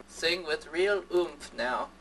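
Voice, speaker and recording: Man putting on a slow, menacing commander. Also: fairly high pitch, background noise. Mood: commanding.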